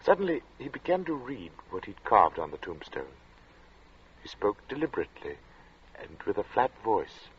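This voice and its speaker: A man acting as an uneasy, introspective gentleman who narrates an eerie tale in 1940s radio suspense style, measured and literate. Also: on the low side, huge pitch swings, a little hiss or hum. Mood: watchful, measured. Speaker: narrator and protagonist. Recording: muffled old radio drama recording.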